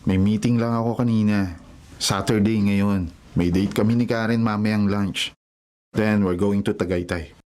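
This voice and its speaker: A man's voice: lowish voice, fairly even intonation, unhurried, darkish tone, close to an everyday voice. A man playing an easygoing, teasing young adult older brother in a Filipino radio drama, with a Filipino accent. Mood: breezy. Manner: breezy, casual, ribbing.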